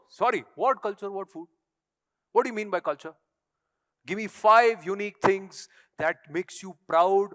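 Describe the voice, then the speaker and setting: A man's voice: sits high, a bit bright. A man with an Indian accent, a native Tamil speaker, giving an emphatic motivational stage speech full of rhetorical questions to an audience. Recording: echoey hall.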